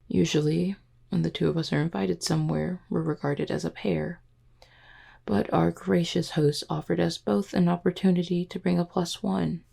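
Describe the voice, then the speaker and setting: A woman voicing a flirty, composed female werewolf second-in-command in an audio roleplay, teasing and measured, with a low, husky voice. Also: warm tone, exaggerated voice. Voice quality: low, husky, breathy with some creak. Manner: teasing, measured, deliberate wording. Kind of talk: roleplay audio drama, intimate one-sided dialogue.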